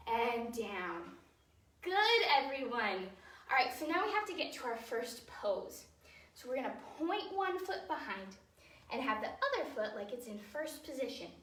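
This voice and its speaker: A young woman teaches a children's dance class in a high, bright voice. She gives simple, encouraging instructions and counts out the moves. The recording is roomy, with her voice some way from the microphone. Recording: roomy echo, distant microphone.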